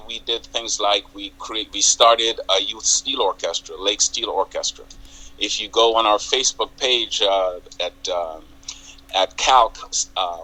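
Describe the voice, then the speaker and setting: An older man with a Jamaican accent, a community group president telling his story in a measured, halting way as a TV interview guest. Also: lowish voice, sharp tone, poor audio. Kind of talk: interview on local TV.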